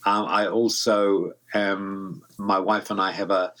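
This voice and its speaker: A man's voice: fairly low pitch, level tone, takes it slowly, fairly bright tone, low-quality recording. A man in his seventies with a New Zealand accent, talking casually as an interview guest, rambling and thoughtful with many restarts. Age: 70s. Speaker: guest voice actor. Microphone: home studio mic.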